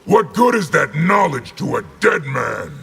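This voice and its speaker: Huge, gravelly horned warlord. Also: male voice, a little high, sing-song, a little slow, sharp tone, heavily acted. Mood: booming threats. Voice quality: gravelly, husky. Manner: menacing, boastful, grand. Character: huge horned brutal male warlord general from another realm. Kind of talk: video game character acting.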